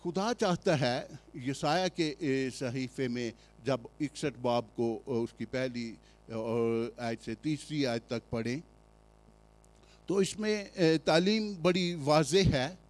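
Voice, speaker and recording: A male pastor with a Pakistani Urdu accent, preaching a sermon with emphatic rhetorical questions and frequent hesitant pauses. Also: medium pitch.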